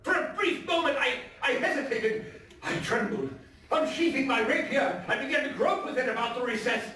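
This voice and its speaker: A man giving a theatrical reading of Gothic horror, deliberate and full of dramatic pauses. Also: very high voice, expressive, bright, forceful tone, far from the mic. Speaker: stage actor, storyteller. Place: theatre stage, live performance. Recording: echoey theatre from afar, faint noise.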